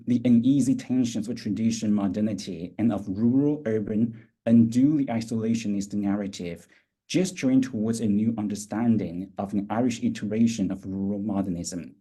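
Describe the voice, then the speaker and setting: A man's voice: on the low side, dark tone, breathy edge, poor audio. A man reading formal academic introductions of speakers and their work as an editor at a roundtable.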